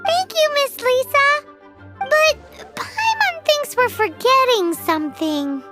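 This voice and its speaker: A woman voicing a tiny, childlike, excitable fairy companion in a video game, squeaky and expressive. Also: takes it slowly, over music, exaggerated voice. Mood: suspicious.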